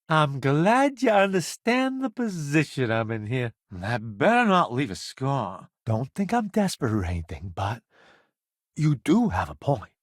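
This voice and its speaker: A man's voice: a little high, dry recording, clean studio sound. A man playing a vain, flamboyant columnist turned space crewmate in a video game, with a wry, theatrical, smug delivery. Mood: disdainful grumbling. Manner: theatrical, wry, smug banter with drawn-out emphasis. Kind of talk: video game companion dialogue.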